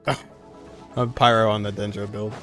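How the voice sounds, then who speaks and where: Male streamer, casual and quippy, on the low side, reacting to gameplay with faint music underneath. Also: flat delivery, normal speed, a bit bright. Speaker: game streamer. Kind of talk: casual live gameplay commentary.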